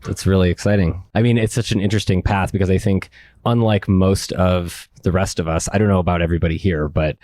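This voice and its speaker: A deep-voiced man hosts a panel, laying out ideas with eager enthusiasm before turning to his guest. His low voice sounds dry and close-miked. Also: steady tone, quick pace, a little mellow, no echo. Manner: enthusiastic, explanatory, asks long framing questions. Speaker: panel moderator. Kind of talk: moderated panel conversation.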